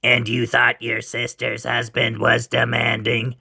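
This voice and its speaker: A man's voice: mid-range voice, monotone, measured pace, gravelly, bright, forceful tone, breathy edge, exaggerated voice. A man voicing a small sarcastic cleaning robot with a mocking, sly manner in a storybook reading.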